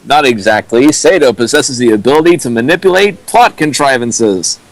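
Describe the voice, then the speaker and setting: A man's voice: medium pitch, expressive, normal speed, rough recording. A man making dry joking asides while a friend reads a fanfic aloud on a stream. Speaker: co-host riffing along. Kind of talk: casual stream banter.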